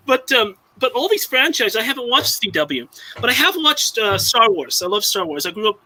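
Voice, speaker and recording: Bright, high-voiced young man rambling. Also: very animated, normal speed, rough recording. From Southern California. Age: young adult. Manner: rambling, many restarts and fillers. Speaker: live-stream guest. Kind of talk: casual chat.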